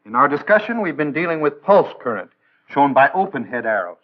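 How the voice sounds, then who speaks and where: An American man narrating a 1960s instructional film in a measured, didactic way with precise enunciation. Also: a little high, very animated. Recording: band-limited, tinny vintage film soundtrack.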